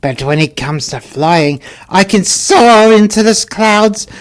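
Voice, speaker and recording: A man voicing a modest, dignified female crane in a storybook fable, answering in a measured, proud way. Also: high-pitched, lively intonation. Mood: proud retort.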